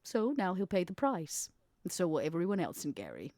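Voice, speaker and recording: A woman playing an imperious, ruthless female vampire schemer in a tabletop roleplay, speaking deliberately with dramatic pauses. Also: on the low side, wildly swooping pitch, darkish tone, no echo, studio quality. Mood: contemptuous, vengeful. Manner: deliberate, dramatic pauses, stressed key words. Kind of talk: in-character tabletop roleplay acting.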